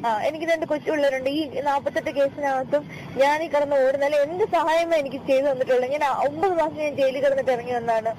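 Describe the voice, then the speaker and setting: A woman's voice: sits high, steady tone, fast talker. A woman arguing insistently on a phone call, repeating her phrases in a pleading, complaining way. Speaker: caller. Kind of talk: tense, argumentative phone conversation. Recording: noisy phone call recording, vehicle sounds.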